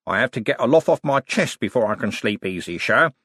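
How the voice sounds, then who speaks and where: A man's voice: mid-range voice, animated, a bit bright, breathy edge, pitched above the performer's own voice. Guy putting on a oily penitent crook, whistly false teeth, preachy and swooping, audiobook style. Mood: sanctimonious, wheedling. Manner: solemn, oily, drawn-out delivery. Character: wheedling sanctimonious male con man posing as penitent preacher.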